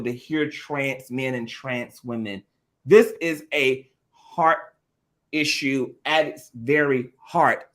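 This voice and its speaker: A man's voice: a little high, fairly bright tone. A man hosting a podcast discussion, speaking with passion, often repeating words and swearing casually.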